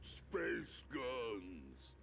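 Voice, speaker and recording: An actor playing a huge, brutish mutant turtle who speaks in short broken phrases, in a video game cutscene. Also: male voice, mid-range voice, wildly swooping pitch, very slow, vocal fry, slight background noise, exaggerated voice. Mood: gruff. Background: loud music.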